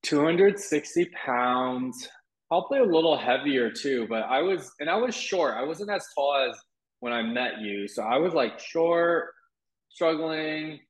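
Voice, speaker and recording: A man's voice: on the high side, lively intonation, unhurried. A young man who grew up partly in Taiwan, with Mandarin as his first language, speaking candidly and reflectively as an interview guest, with frequent restarts. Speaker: wellness guest. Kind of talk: interview conversation. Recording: roomy echo.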